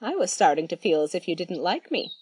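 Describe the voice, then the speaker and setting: A woman's voice: on the low side, big pitch swings, brisk, darkish tone, breathy edge, no room sound. A woman playing a tipsy, self-satisfied, gossipy country lady in an audio drama, chatty and theatrical. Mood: teasing, welcoming. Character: Mrs Frankland, tipsy, gleefully litigious, gossipy country lady.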